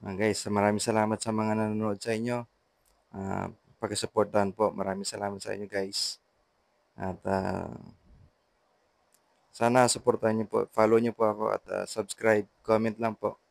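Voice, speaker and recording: A Filipino man narrating a casual how-to vlog in a halting, repetitive way, with short trailing phrases. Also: fairly low pitch, vocal fry, a little mellow, no room sound. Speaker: vlogger, intercom installer.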